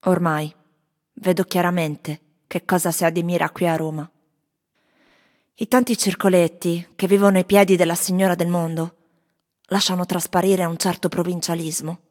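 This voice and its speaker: An actress voicing disgruntled tourists in Rome, in a theatrical radio recitation of their testimonies. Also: female voice, lowish voice, fairly even intonation, moderate pace, darkish tone, lightly acted. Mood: reflective. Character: disgruntled tourists in Rome, airing complaints and impressions.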